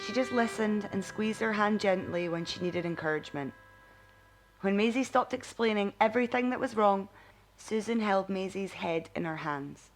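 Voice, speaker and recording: A woman narrating a scripted bedtime story in an expressive audiobook style. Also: medium pitch, moderate pace, faint music behind.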